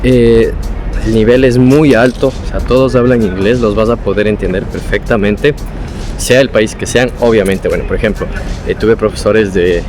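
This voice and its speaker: A man's voice: lowish voice, fairly even intonation, normal speed, a little mellow, soft background music. A young man with an Ecuadorian Spanish accent, talking casually and explanatorily as a vlogger giving advice from his own experience. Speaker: vlogger, former international student.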